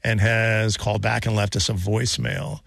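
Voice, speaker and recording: A man hosting a casual radio talk show, bantering in a halting way and circling back over his words. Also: lowish voice, little pitch movement, vocal fry, a bit bright, no room sound, studio quality.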